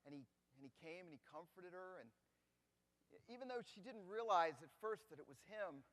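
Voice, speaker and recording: A man performing a dramatic church monologue as a grieving, doubting disciple, halting and stammering, swinging from hushed to loud. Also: fairly high pitch, in a large hall, lightly acted. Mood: troubled. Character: grieving doubting male disciple, middle-aged, earnest. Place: church hall.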